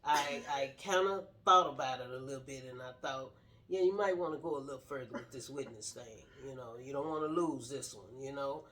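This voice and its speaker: A woman's voice: very deep voice, brisk, fairly bright tone. A middle-aged woman sharing her personal story casually in an earnest, straightforward way. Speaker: guest telling personal story, wife of the host.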